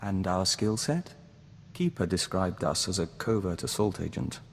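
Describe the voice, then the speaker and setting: A man's voice: deep voice, expressive, normal speed. A man playing a serene diplomat joined to an insect hive mind in a game, speaking formally and with measure, calling himself "we.". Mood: earnest, thoughtful. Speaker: game companion character. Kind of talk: scripted game dialogue acting. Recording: reverberant hall, clear background noise.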